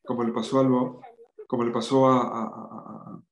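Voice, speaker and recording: A man's voice: medium pitch, level tone, normal speed, creaky, roomy sound, highs cut off. A middle-aged man giving a lecture over a video call, reading passages aloud and adding his own remarks with occasional restarts. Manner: reads aloud with asides and restarts.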